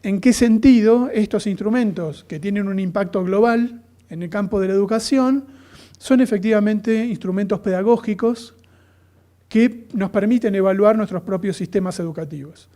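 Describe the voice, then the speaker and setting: A man from Argentina with an Argentine accent, giving a thoughtful academic lecture on education policy, pausing often with fillers and restarting phrases. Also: sits high, warm tone. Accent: Argentine Spanish.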